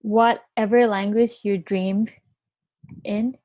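A woman's voice: mid-range voice, steady tone, slow, no room sound, highs cut off. A young woman, a native Japanese speaker with a Japanese accent, chatting casually as a podcast co-host. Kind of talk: casual chat.

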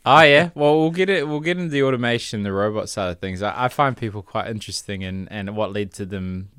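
A man's voice: fairly low pitch, fast, fairly bright tone, clear, resonant tone, no echo, clean studio sound. A man hosting a casual podcast, asking questions in an upbeat, chatty way. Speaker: podcast host.

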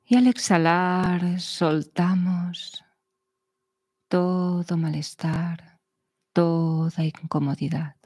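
A woman leads a guided meditation at a very slow pace, with a slightly low voice. She gives soothing, measured instructions in short phrases, leaving long pauses between them. The sound is dry and close. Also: fairly even intonation, darkish tone, slightly rough, no room sound.

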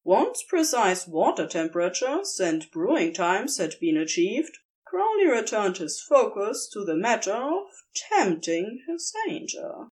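A woman reading a fan fiction story aloud with gentle, expressive storytelling. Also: medium pitch. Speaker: podfic reader. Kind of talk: unhurried fan fiction narration.

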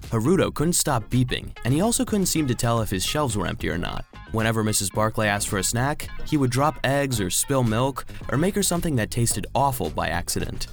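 An earnest, anxious robot vacuum cleaner tells a children's audio story. The delivery is lively and expressive, at a middling pitch, with music underneath. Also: male voice, a little fast, creaky, hardly any pauses. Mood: anxious, rueful. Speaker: narrator. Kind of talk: scripted children's audio drama narration.

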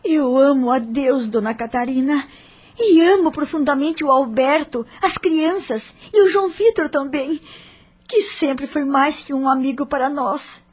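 Anxious devoted young widow, radio soap, high tender voice, very earnest. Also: female voice, measured pace. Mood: tearful pleading. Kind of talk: radio drama acting.

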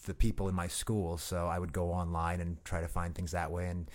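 A man in his thirties with a very low voice talks in a relaxed interview. He speaks in halting bursts, trailing off and restarting as he works out what to say. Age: 30s. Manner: halting, many restarts and fillers. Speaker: guest comedian. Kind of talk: casual interview chat.

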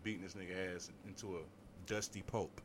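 A man's voice: deep voice, steady tone, a little fast, crowd noise. A young man co-hosting a casual podcast, teasing and swearing with frequent laughter. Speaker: podcast co-host. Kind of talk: casual chat.

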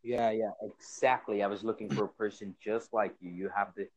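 A man from India, speaking with an Indian accent while working on an American one, in measured, agreeable casual chat. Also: lowish voice, steady tone, dry recording, poor audio. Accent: Indian, practicing American.

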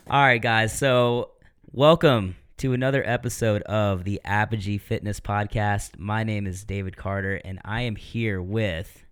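Male podcast host, thirties, smooth low voice, chatty storyteller. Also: steady tone, unhurried. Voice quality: deeper voice. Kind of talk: casual chat.

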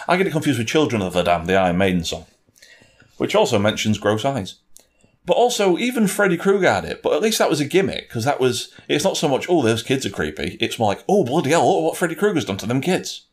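Wry man with very animated, swooping delivery. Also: mid-range voice, fast talker. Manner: wry, sweary, puts on mock voices while ranting. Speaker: film and game reviewer in a two-person discussion. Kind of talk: casual opinionated chat.

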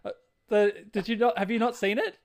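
A man's voice: high voice, a little fast. A man talking casually as co-host of a podcast on movies and video games. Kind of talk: casual movie and game chat.

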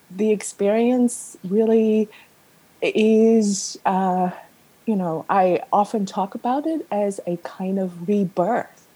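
A woman's voice: fairly low pitch, mellow, husky, poor audio. A woman in her fifties from Vietnam, Vietnamese her first language, answering a remote interview thoughtfully with many fillers. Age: 50s. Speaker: guest, novelist.

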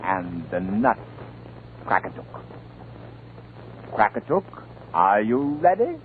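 An actor playing a scheming, gloating old enchanter in a 1950 radio drama, with theatrical, drawn-out delivery. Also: male voice, lowish voice, very animated. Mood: grave, solemn. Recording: tinny, noisy 1950 radio broadcast.

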